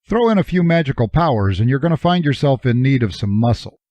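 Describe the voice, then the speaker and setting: A man's voice: mid-range voice, expressive, quick pace, darkish tone, no echo. A man reading a first-person novel as an audiobook narrator, with a wry, dryly humorous delivery.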